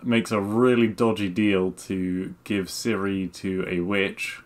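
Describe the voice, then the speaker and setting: A man co-hosting a podcast in casual chat, talking in a halting, hesitant way. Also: sits low, little pitch movement. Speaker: podcast co-host.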